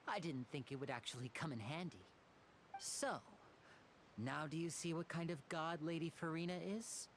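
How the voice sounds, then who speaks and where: A young male magician from a video game, pitched low for a woman. He is courteous and smooth, with a showman's measured delivery, over faint background noise. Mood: modest.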